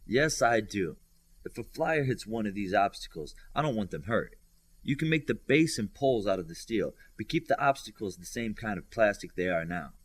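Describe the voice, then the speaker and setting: A man's voice: lowish voice, animated, moderate pace, slightly rough. Man reading as a male sports coach, practical, firm, plain-spoken, audiobook dialogue.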